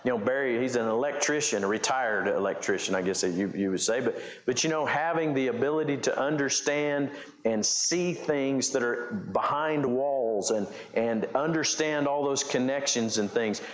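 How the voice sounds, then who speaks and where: A man's voice: mid-range voice, moderate pace. A male pastor preaching a conversational sermon, explaining scripture with frequent restarts and fillers. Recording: echoey room.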